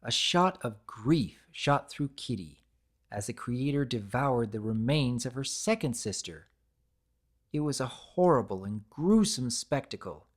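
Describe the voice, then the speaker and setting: Highly expressive, animated story narrator. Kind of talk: dramatic reading of fan fiction story.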